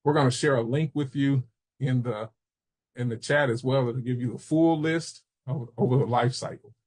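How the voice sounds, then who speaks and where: An American man from Chicago giving an informal training webinar, explaining haltingly with personal asides. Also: mid-range voice, fairly even intonation, a little mellow, breathy edge. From Chicago, United States. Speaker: webinar presenter, substance misuse prevention trainer.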